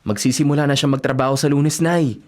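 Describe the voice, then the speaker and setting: An actor as a devoted young Filipino boyfriend, gently earnest. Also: male voice, medium pitch, fairly even intonation, fast talker, lightly acted. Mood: earnest, defending. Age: young adult. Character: devoted, self-sacrificing young working boyfriend and son. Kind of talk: Tagalog radio drama acting.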